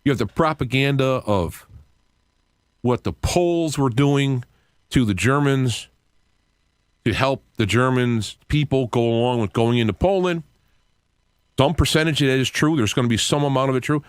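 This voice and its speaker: An older man, around sixty, hosting a radio show with an earnest, reflective, unscripted monologue in halting phrases. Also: medium pitch, smooth, no room sound, studio quality. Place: cluttered home radio studio, indoors. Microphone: close studio microphone with headset.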